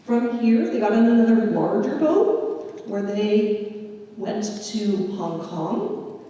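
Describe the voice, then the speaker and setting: Slow woman lecturing in an echoey hall. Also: mid-range voice, very animated, warm tone, distant mic, muffled recording. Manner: measured storytelling, unhurried. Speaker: history presenter. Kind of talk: public history lecture.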